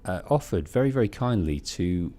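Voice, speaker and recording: A British man with a deep, bassy voice giving measured, polite storytelling commentary as he streams a game, close on the microphone. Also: expressive, dark tone. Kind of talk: let's play commentary, storytelling. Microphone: close bass-heavy streaming microphone.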